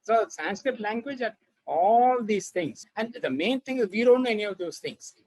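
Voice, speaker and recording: A man's voice: high-pitched, animated, normal speed, darkish tone, dry recording, poor audio. A man giving an informal, explanatory talk for children as an expert, often repeating and rephrasing his points. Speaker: expert author and researcher.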